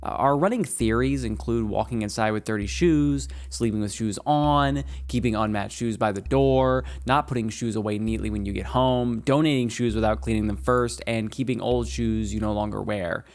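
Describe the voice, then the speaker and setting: A man's voice: fairly low pitch, normal speed, few pauses, darkish tone, lightly acted. An actor playing a nervous young male agent who stammers and hesitates through a report. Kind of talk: audio drama acting.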